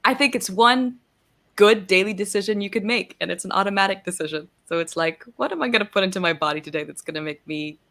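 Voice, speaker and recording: A woman's voice: mid-range voice, fast, fairly bright tone. A young woman guest chatting casually on a video call, giggly and self-deprecating, with many fillers. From born in Singapore, raised in Barbados and the Philippines. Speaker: guest, actor and singer. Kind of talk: casual interview chat.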